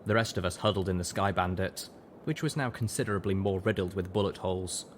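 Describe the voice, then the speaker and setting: Low-voiced man narrating a story. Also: fairly quick, slight background noise. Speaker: audiobook reader, show narrator. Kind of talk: scripted first-person story narration.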